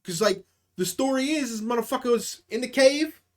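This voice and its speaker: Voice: mid-range voice, moderate pace. Profane, slangy comedy streamer. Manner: profane, slangy, acts out quoted characters. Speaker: live stream comedy host. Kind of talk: casual improvised comedy storytelling to a live chat.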